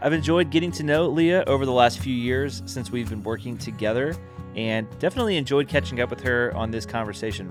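A man hosting a podcast, friendly and upbeat, interviewing a colleague over a video call. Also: fairly low pitch. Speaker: podcast host.

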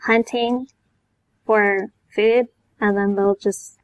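A woman's voice: mid-range voice, steady tone, slow. A woman in a casual chat as a podcast guest, speaking in a hesitant, tentative way. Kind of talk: casual chat about anime and manga.

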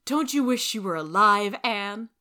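A woman's voice: medium pitch, expressive, measured pace, pushed and bright, pitched above the performer's own voice. A woman voicing a wistful, admiring, romantic young girl in a storybook, earnest and gushing.

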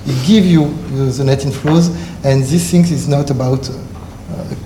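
Man, French accent, panelist, halting speech with fillers, higher pitch, echoey hall, faint noise. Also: mellow. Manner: halting non-native English with many filler uhs. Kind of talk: conference panel talk. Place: conference hall.

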